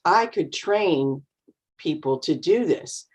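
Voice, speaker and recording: A woman coaching learners in an online English class, explaining calmly and encouragingly over a video call. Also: low voice, slow pace, slightly rough, lo-fi sound. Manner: encouraging, explanatory, acts out nervous voices. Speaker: co-host and speaking coach.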